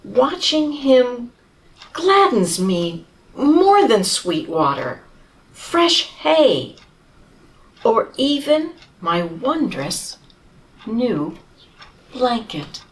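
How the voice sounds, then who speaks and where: A woman reading as a gentle, humble camel. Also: a little high, distant mic, voice pushed up, heavily acted, low-quality recording. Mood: tender. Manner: slow, tender storybook telling with lively rises. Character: humble gentle male camel, tired but loving. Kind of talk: children's storybook reading.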